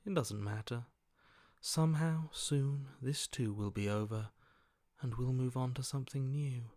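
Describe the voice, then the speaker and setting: A cold, detached inner voice from a video game, acted by a man. He speaks slowly at a middling pitch, deadpan and bored even by death. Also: dry recording, clean studio sound, natural voice.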